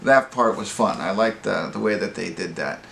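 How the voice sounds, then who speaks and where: A man hosting a casual, off-the-cuff movie review, speaking in a halting, rambling, conversational way. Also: lowish voice, animated, creaky, fairly bright tone. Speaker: host of a movie review show. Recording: roomy echo, background room noise.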